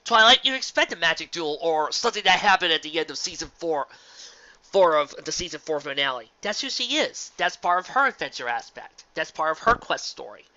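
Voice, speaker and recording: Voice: medium pitch, big pitch swings, brisk, sharp tone, no echo. A person reading and discussing fan fiction in an emphatic, informal, dramatic way. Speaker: fan fiction reader and reviewer. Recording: dull lo-fi sound.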